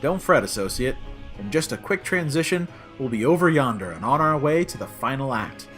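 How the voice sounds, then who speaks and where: Man acting an excitable, theatrical space adventurer. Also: mid-range voice, a little fast, soft background music, close to an everyday voice. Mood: breezy. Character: excitable, bantering time-traveling alien adventurer, male. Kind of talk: comic audio drama acting.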